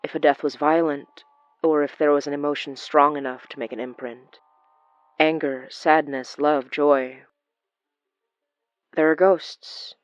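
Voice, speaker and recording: A wry, gentle young woman in an audio drama. Her voice sits fairly low and is recorded dry and a little muffled, with music underneath. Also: steady tone, unhurried, pauses often, no room sound. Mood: thoughtful. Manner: playful, thoughtful, conversational.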